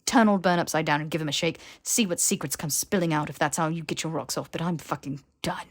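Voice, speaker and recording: A melodramatic young nobleman wizard acted by a woman with a lowish voice and heavy emphasis. He is scornful and bitter, a proud aristocrat in a fantasy story reading. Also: fast. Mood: furious. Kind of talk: character voice in fan fiction audiobook.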